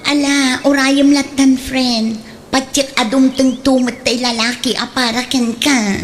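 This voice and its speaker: A woman's voice: high-pitched, level tone, measured pace, noisy background. A woman playing a married close friend in a comedic radio drama, teasing and reassuring. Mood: teasing, encouraging.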